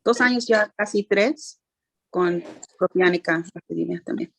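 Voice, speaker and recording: A woman's voice: fairly low pitch, measured pace, gravelly, slight background noise. A woman briefly introducing herself as a parent on a video call.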